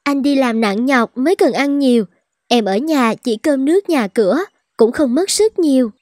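A woman's voice: sits high, lively intonation, normal speed, a little mellow, no room sound, studio quality. A woman voicing a devoted, uncomplaining poor peasant wife and mother in a folk-tale cartoon. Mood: caring. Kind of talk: cartoon dubbing.

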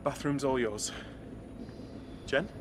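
An actor playing Ben, a young man, breezy with friends and calmly reasoning in arguments. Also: medium pitch, takes it slowly, background noise, lightly acted. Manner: breezy banter, then calm and patronizing.

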